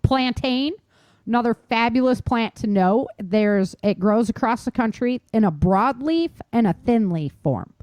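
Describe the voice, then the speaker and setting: A woman's voice: fairly high pitch, animated, a little slow, a little mellow, dry recording, lo-fi sound. A middle-aged American woman hosting a podcast, talking informally and emphatically as she instructs her listeners directly. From United States. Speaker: podcast host. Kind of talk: casual instructional podcast talk.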